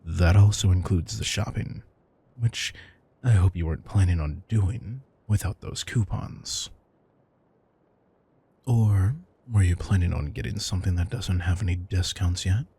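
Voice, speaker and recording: A man's voice: deep voice, a little slow, creaky, mellow, breathy edge, heavily acted. A man playing an affectionate, theatrical retired supervillain turned doting house husband, teasing and mock-grandiose in an intimate audio roleplay. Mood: teasing, chiding.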